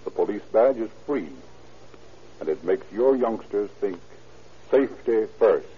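Old time radio announcer guy, lowish voice, formal and emphatic, hissy dull recording. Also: expressive, measured pace. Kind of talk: 1930s radio scripted narration and announcing. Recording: noisy, muffled 1930s radio recording.

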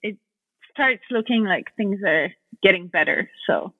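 Woman guest philosopher, thoughtful and halting, on a thin phone-quality line. Dead-dry sound. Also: medium pitch, lively intonation, no echo. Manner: thoughtful, searching for words. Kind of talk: conversational interview answers.

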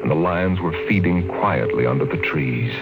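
A man's voice: deep voice, normal speed, phone-quality sound. A man narrating a classic radio drama in a theatrical, literary storytelling style. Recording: old radio broadcast with loud music.

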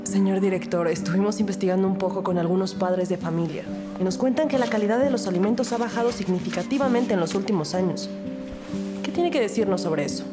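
A woman playing a calm police detective who narrates and speaks in a scripted crime audio drama. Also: medium pitch, fast talker, over loud music, close to an everyday voice. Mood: questioning, calm.

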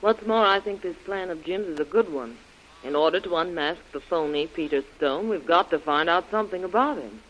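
A man acting as an earnest, logical companion in a vintage radio serial. His voice sits a little high, with a matter-of-fact manner. The old broadcast sounds dull and lo-fi. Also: fairly quick, fairly bright tone, a little hiss or hum, lightly acted. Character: earnest, logical adult supporter of the hero's plan.

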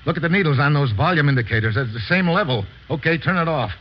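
Actor as a brisk American investigator, old noisy radio. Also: male voice, on the high side, animated, highs cut off, close to an everyday voice. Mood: focused, businesslike. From United States. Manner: brisk, clipped, commanding. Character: brisk, resourceful American male investigator giving orders. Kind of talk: old-time radio drama acting.

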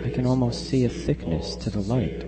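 An earnest young man caught in a cult, played by a male actor, speaks with bewildered wonder at an ordinary middle pitch. It is radio horror acting with clear crowd sound behind. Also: moderate pace, natural voice, rough recording. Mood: entranced wonder.

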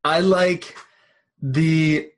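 A man's voice: a little high, steady tone, extremely slow. A young man hosting a podcast, talking enthusiastically and casually, often saying "like" and repeating himself. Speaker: podcast host.

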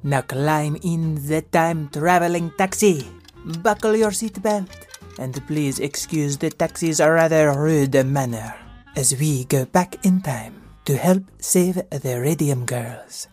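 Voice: medium pitch, slow, darkish tone, faint music behind, lightly acted. An actor playing a cheerful French woman detective chief, theatrically narrating a children's audio drama. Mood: playful, welcoming. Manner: theatrical, playful. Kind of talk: scripted storybook narration for children.

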